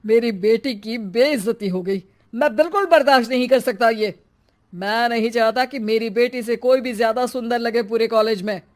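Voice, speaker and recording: A man's voice: extremely high pitch, normal speed. A man playing a bullying middle-aged husband and father in a dramatised story, scolding with contempt. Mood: scornful, angry. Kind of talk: dramatised Hindi moral story.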